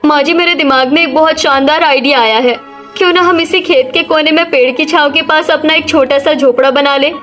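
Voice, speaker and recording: A woman's voice: high voice, fairly quick, a bit bright, faint music behind. A woman voicing a practical, outspoken young village daughter-in-law in a Hindi cartoon story. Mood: enthusiastic.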